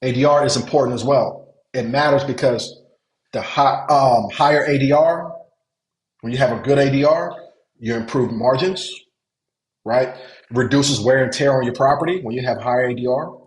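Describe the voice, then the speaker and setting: A man's voice: medium pitch, normal speed. A man from Nigeria coaching a webinar on hotel investing, repeating words for emphasis and checking that listeners follow. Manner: repeats words for emphasis, asks if it makes sense. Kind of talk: webinar teaching. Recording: echoey room.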